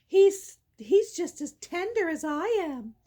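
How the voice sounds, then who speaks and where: Little boy goat for a fairy tale, meek, pleading, extremely high pitch played by a woman. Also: sing-song, slow pace, warm tone, exaggerated voice. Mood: anxious, persuasive. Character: timid little billy goat, young male, pleading.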